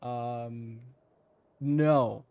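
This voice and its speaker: Creaky-voiced man giving wry game commentary. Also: medium pitch, fairly even intonation, drawn-out words, mellow, no room sound. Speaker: gaming streamer.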